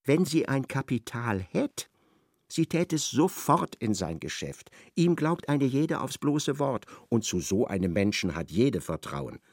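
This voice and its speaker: A male radio play narrator with a very animated, theatrical delivery. His voice has a creaky grain and sits in a dry, close recording as he relays what people said. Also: medium pitch, a little slow, no echo. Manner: theatrical, retells dialogue in indirect speech.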